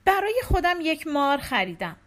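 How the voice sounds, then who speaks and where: Lady reading as a gentle husband, soft and steady, kinda lively pitch. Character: calm husband breaking bad news gently.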